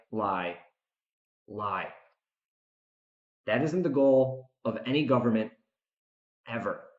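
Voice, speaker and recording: A man hosting a podcast as its author, giving an unscripted, opinionated monologue, emphatic, with repeated words and dramatic pauses. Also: mid-range voice, reverberant, dull audio.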